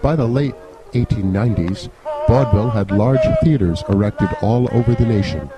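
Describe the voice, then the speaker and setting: A man's voice: fairly low pitch, moderate pace, music in the background. A man reading scripted mock-documentary narration in a formal, grandiose storytelling manner.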